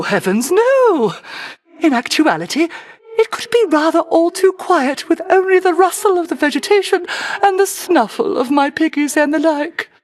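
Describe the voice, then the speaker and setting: An actress voicing a doting, playful witchy enchantress in a video game, with courtly, lilting, old-fashioned delivery. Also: high-pitched. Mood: amused indignation, then warm, coy. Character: doting witchy island enchantress, gracious, playful, secretive hostess. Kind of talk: theatrical video game character acting.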